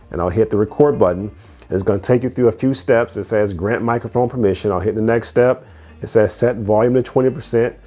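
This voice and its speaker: Low-voiced man doing a casual tech demo. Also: mellow. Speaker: tech reviewer and host. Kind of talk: casual product review and step-by-step demo.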